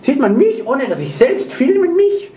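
A young man playing a silly foreign tour guide in halting, mock-formal broken German, over-explaining everything to the camera. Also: extremely high pitch, sing-song, mellow, pitched above the performer's own voice. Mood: mock-earnest. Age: young adult. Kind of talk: comic room tour to camera. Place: small tiled hotel bathroom. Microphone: handheld camcorder. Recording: echoey tiled bathroom, noisy camcorder.

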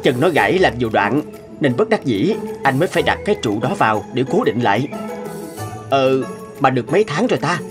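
A man's voice: mid-range voice, very animated, a little fast, over music, natural voice. A man voicing a mild, caring married doctor in a cartoon, explaining things conversationally. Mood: casual, concerned. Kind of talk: Vietnamese cartoon dubbing.

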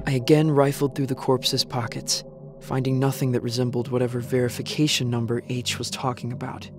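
Man as a sombre, even-toned young survivor narrating. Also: mid-range voice, natural voice. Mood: brooding. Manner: measured, serious storytelling. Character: sombre young male survivor recounting his discoveries. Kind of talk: scripted first-person drama narration. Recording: music bed behind narration.

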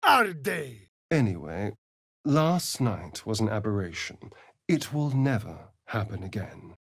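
A man's voice: on the low side, very animated, takes it slowly, creaky, husky, no echo, clean studio sound, heavily acted. A man with a British accent playing a vain, sardonic immortal vampire rogue in a fantasy video game, theatrical and arch. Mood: casting, forceful, then scornful, dry. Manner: arch, drawling, flamboyant.

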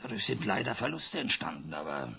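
A man's voice: on the low side, sing-song, fairly quick, gravelly, sharp tone, slightly rough, full character voice. An actor playing a cornered banker who pleads and tries to excuse his losses, in a German radio play. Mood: evasive. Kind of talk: 1980s German radio drama acting.

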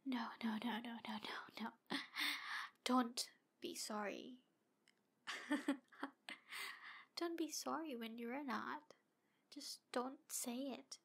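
Woman playing yandere demon, female, high pitch, slow halting delivery, breathy, possessive, pleading, ASMR audio roleplay. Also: exaggerated voice. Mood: desperate, upset. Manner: breathy, halting, drifting into whispers.

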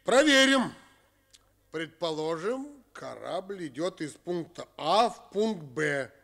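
Creaky-voiced pondering ship's captain. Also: male voice, fairly high pitch, sing-song, a bit bright, exaggerated voice. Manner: deliberate, thinking aloud. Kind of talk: Soviet radio play acting.

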